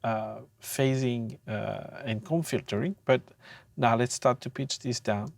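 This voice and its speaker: Slow, halting audio tutor, dry sound. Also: medium pitch, creaky, a little mellow, no room sound. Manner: hesitant, halting delivery with frequent pauses. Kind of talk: informal software tutorial demonstration.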